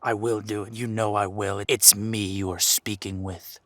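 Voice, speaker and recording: A man's voice: fairly low pitch, little pitch movement, moderate pace, no room sound, lightly acted. A man acting a menacing, seductive villain king in a roleplay audio drama, with a theatrical, commanding drawl. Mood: threatening fury.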